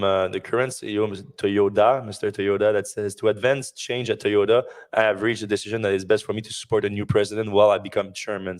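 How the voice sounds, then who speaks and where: A man with a low, fairly monotone voice talks through car news on a podcast, rambling with fillers and false starts. His voice is wearing thin and hoarse. He speaks into a desk mic from a home office. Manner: rambling, many fillers and restarts. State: losing his voice. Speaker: podcast host. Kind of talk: casual news discussion podcast.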